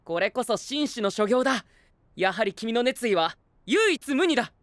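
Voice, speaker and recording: Animated, grandiose young gentleman knight. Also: very high voice, moderate pace, no room sound, heavily acted. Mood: impressed. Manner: formal, grandiose, with exclamations in English. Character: proud, theatrical young gentleman knight, generous with praise. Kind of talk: anime-style voice drama acting.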